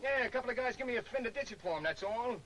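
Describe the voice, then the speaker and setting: A man's voice: extremely high pitch, animated, rapid-fire, fairly bright tone, low-quality recording. A man playing a nervous, slangy small-time crook pleading his innocence in a 1940s movie serial. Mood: anxious, pleading. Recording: old film soundtrack with faint hiss.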